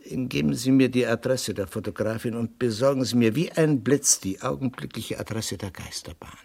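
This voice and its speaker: An actor playing a stern, sceptical police inspector in a 1960s German radio crime drama, questioning in a dry, sarcastic way. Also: male voice, mid-range voice, normal speed, gravelly, darkish tone, a little hiss or hum, close to an everyday voice. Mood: brisk, guarded.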